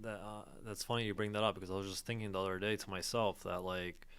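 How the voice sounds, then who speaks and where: A man's voice: low-pitched, flat delivery, fast, a bit bright, smooth. A young man hosting a podcast, asking curious questions and thinking aloud on a stand mic in an office room. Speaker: podcast host. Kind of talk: casual conversation. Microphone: studio mic on a stand.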